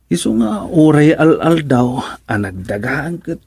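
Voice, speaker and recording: A man's voice: fairly high pitch, very animated, unhurried, gravelly, a little mellow, breathy edge, exaggerated voice. A Filipino man playing a flustered, henpecked village councilman in a radio comedy, stammering and making excuses. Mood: whining, flustered. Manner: stammering, hesitant, exclamatory. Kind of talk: comedic radio drama acting.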